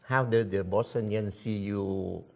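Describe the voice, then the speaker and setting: A man hosts a local TV interview, putting his questions slowly and haltingly. His voice sits a little low, and he often stops to search for his words. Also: steady tone, creaky, darkish tone.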